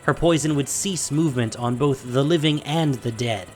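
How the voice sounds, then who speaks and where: A man narrating a fantasy audio drama in a measured, theatrical storytelling style. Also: mid-range voice, a little mellow. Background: music and sound effects.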